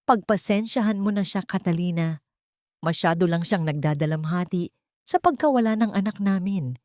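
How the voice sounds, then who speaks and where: A woman's voice: fairly low pitch, moderate pace, a little mellow, no echo, clean studio sound, lightly acted. Woman voicing a scheming husband in melodrama. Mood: placating.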